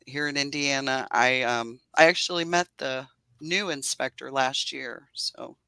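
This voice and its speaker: A woman's voice: rumbling low, fairly even intonation, a little slow, pushed and bright, clear, resonant tone, no room sound, rough recording. A woman in her forties with a soothing voice, chatting casually about her hobby over a video call and laughing often. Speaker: beekeeper guest. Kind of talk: casual livestream chat. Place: home office.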